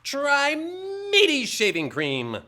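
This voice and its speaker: A man playing an old-time radio serial announcer, narrating and pitching for the sponsor. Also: wildly swooping pitch, takes it slowly, sharp tone, full character voice. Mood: dramatic, salesy. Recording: echoey live radio theatre. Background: music.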